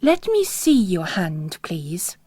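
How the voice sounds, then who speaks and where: A woman voicing a mysterious, composed male priest, softly spoken and terse, in a story reading. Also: lowish voice, huge pitch swings, slow, slightly rough, no echo, full character voice. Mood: quiet, probing.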